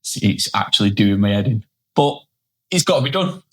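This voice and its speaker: Man, low voice, tired and blunt, casual podcast co-host who swears and mocks himself. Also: expressive, a little fast, breathy edge. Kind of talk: casual chat. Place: indoor studio setup, seated.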